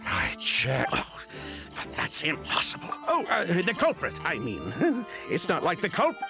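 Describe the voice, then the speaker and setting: Casting: evasive nervous man for a game, drawn-out halting delivery, very animated pitch, music clearly behind. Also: full character voice. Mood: startled, nervous. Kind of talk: video game voice acting.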